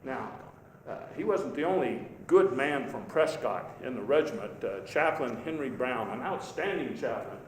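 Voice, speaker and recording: A man playing a genial, self-deprecating old statesman who tells stories in a halting, folksy after-dinner speech. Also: medium pitch, lively intonation, natural voice. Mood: proud, reminiscing. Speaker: historical reenactor giving a speech. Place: large indoor hall before an audience. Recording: echoey hall, noisy live recording.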